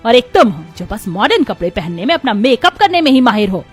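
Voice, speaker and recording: A woman's voice: a little high, big pitch swings, fairly quick. A woman voicing a stern, scolding Indian mother-in-law in a dramatic Hindi moral story cartoon. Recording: music behind the voice. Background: background music.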